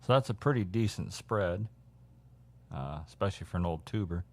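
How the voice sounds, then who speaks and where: Low deep guy hosting a casual hobby radio chat, kinda halting as he thinks out loud. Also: lively intonation, noisy background. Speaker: radio hobbyist host showing viewers CB radio gear.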